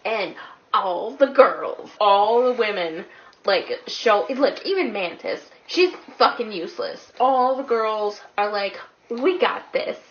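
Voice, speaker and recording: A woman's voice: mid-range voice, animated, slow pace. A woman co-reviewing a film in casual conversation, informal and sweary, peppering her talk with "like". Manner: informal, heavy use of 'like', swears freely. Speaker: guest co-reviewer. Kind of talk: casual movie review chat. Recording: dull lo-fi sound, clear background noise.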